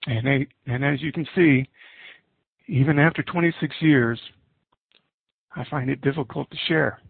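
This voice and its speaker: Gravelly middle-aged male preacher, phone-like sound. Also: medium pitch, normal speed, no echo. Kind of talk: sermon with scripture readings. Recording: muffled narrowband, phone-like audio.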